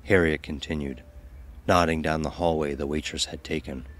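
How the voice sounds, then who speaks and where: Male narrator, extremely deep pitch, scripted horror storytelling. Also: steady tone, normal speed.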